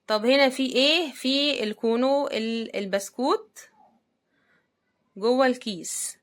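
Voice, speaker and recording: A woman's voice: high voice, unhurried. A woman with an Egyptian Arabic accent chats casually to her viewers, commenting as she hosts an unboxing video. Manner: chatty, addresses viewers directly. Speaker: host of product unboxing videos.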